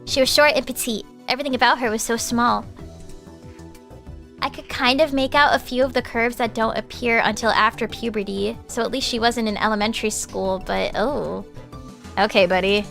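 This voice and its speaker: Woman, streamer, reading visual novel aloud, excited interjections, slightly high, bright voice, faint game music. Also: normal speed, creaky. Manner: reads narration, breaks off into loud excited reactions. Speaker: vtuber streamer. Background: game background music.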